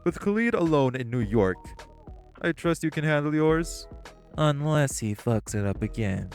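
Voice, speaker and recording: A man voicing hostile crime bosses in an audio roleplay drama, profane and confrontational, with soft music behind. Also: on the high side, lively intonation, measured pace. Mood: sneering. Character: hostile male crime bosses, magic gangsters.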